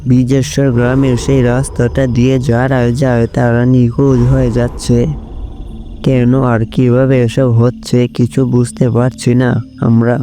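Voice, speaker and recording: A man's voice: medium pitch, monotone, a little slow, slight background noise, close to an everyday voice. A man playing a worried, determined village headman in a horror cartoon, speaking earnestly. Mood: pleading. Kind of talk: animated horror story acting. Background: loud music.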